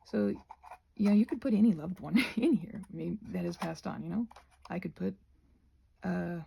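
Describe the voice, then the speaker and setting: A woman rambling casually to viewers as she crafts, in a halting, digressive way that often doubles back on itself. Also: fairly low pitch, creaky, warm tone, slight background noise. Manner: halting, digressive, self-interrupting. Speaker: craft and ASMR video creator.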